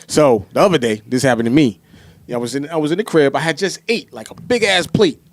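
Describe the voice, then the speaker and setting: A man's voice: fairly high pitch, fairly quick. An American man co-hosting in casual, slangy chat, often adding "you know what I'm saying". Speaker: podcast co-host.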